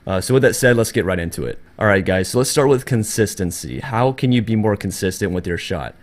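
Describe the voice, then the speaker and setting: A man's voice: lowish voice, animated, brisk. A man with a cold, congested and a little scratchy, hosting an earnest, casual gaming tutorial and often circling back to restate his points.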